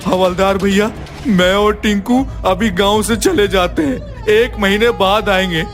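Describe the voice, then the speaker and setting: An eager village loafer from a cartoon, speaks in a very high voice. Faint music sits behind the sidekick's lines. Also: male voice, measured pace. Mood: eager advising. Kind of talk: cartoon voice acting.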